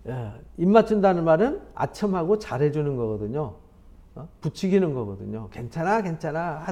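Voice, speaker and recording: Lively conversational male pastor, mellow tone. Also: on the high side. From South Korea. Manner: conversational, animated, with laughs and rhetorical questions. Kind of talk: Bible study sermon.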